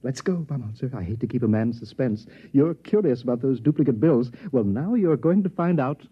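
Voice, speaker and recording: An actor playing an urbane, affable and smug con man with a husky voice in a 1950s radio drama. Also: mid-range voice, lively intonation, brisk. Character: genteel, talkative male con man gone native in jungle. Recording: dull vintage 1950s radio recording.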